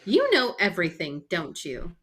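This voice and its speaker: A woman's voice: on the low side, wildly swooping pitch, normal speed, fairly bright tone, husky, exaggerated voice. A woman playing an outspoken, sardonic female sidekick in an old-time radio mystery, with folksy exclamatory delivery. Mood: sardonic, challenging.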